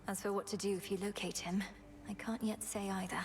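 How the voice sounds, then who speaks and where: A woman performs a resolute young princess from a fantasy game. She has a medium-pitched voice, speaking formally and urgently, as a bossy sister would. Faint noise sits behind her. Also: flat delivery, a little fast, darkish tone, lightly acted. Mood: earnest resolve. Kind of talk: video game dialogue acting.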